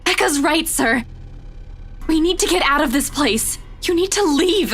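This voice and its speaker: A woman's voice: high-pitched, lively intonation, measured pace, fairly bright tone, full character voice. A woman voicing an anxious, pleading young woman in a visual novel, urgent and emotional.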